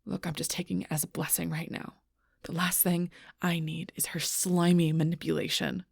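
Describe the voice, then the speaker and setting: A woman playing a fierce, protective alpha werewolf in an audio roleplay, bitter and confrontational, with a breathy, rough-edged voice. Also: on the low side, flat delivery, normal speed, no room sound, studio quality. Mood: bitter, irritated. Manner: intense, confrontational, swearing, often dropping to near-whisper. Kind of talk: audio roleplay acting addressed to the listener.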